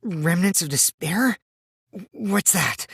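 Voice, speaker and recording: A man's voice: sits high, animated, takes it slowly, husky, no echo, studio quality, full character voice. A man voicing a mischievous, childish teenage boy who lies and schemes, in a youthful, teasing, theatrical video game performance. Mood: confused, hesitant. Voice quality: boyish, youthful put-on voice. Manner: teasing, theatrical, swinging from singsong to hushed menace. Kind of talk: English video game voice acting.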